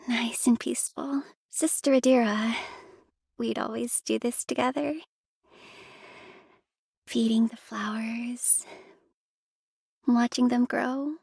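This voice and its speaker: Timid little convent girl, game character, high voice, slow pace, soft, breathy, sweet, lonely. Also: no room sound, studio quality, heavily acted. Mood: wistful. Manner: soft, breathy, wistful, childlike. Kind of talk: visual novel game voice acting. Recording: music behind the voice.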